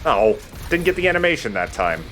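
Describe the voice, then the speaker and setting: A man's voice: medium pitch, very animated, brisk, fairly bright tone, slight background noise. A man hosting a let's play, giving casual commentary on a video game.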